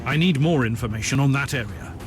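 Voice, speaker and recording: A man's voice: mid-range voice, fast talker, close to an everyday voice. Game role for a man, acted: self-assured, authoritative Inquisitor, dry delivery, middle register, music behind. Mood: composed, commanding. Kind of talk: dramatic game cutscene dialogue.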